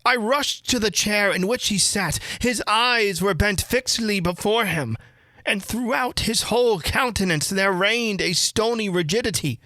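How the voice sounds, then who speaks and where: A woman storyteller reading a classic horror tale as dramatic gothic narration, with theatrical, deliberate phrasing. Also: medium pitch, fairly bright tone, dry recording.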